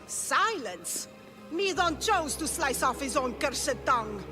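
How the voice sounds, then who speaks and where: Casting: contemptuous female cult leader, theatrical game villain, high register, music underneath. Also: sing-song, slow pace, heavily acted. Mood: cold gloating. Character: menacing older cult priestess, twisted self-appointed mother. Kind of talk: dramatic video game acting.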